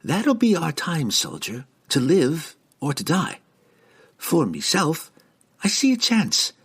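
A man voicing a rough, scheming female sailor who is held captive, talking in a coarse dialect. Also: a little high, huge pitch swings, slow, a bit bright, pitched above the performer's own voice, heavily acted. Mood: taunting. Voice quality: coarse dialect, dropped words.

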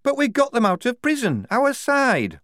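Anxious young female recruit, done by a man, pleading, naive, high voice, storybook. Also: wildly swooping pitch, moderate pace, a bit bright, no echo, clean studio sound, full character voice. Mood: nervous. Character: nervous, naive, anxious young woman soldier.

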